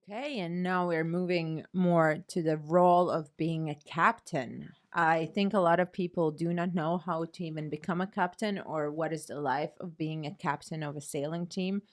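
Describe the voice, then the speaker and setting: A young woman hosts a student podcast with a fairly low voice. She asks polite questions and often strings several of them together. She speaks in a plain, conversational way. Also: steady tone, normal speed, hardly any pauses. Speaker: podcast host. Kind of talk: interviewing guests.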